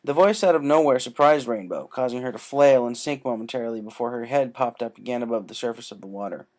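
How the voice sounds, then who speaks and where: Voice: mid-range voice, fast, darkish tone. A narrator reading scripted fiction aloud as a storyteller.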